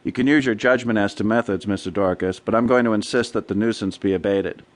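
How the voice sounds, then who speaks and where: A man plays an American criminal lawyer in a radio drama. His voice runs low and he talks fast, in clipped, assertive phrases that press each point persuasively. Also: fairly even intonation, lightly acted. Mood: firm. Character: brisk, insistent male criminal defense lawyer.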